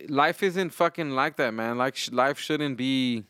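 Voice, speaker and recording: A man's voice: mid-range voice, takes it slowly, a bit bright, clear, resonant tone, dry recording, clean studio sound. A Mexican-American man hosting a casual podcast, switching between English and Spanish with a loose, profane way of talking. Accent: Mexican-American, mixing English and Spanish. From United States. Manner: loose and profane, switching languages mid-sentence. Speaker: podcast host.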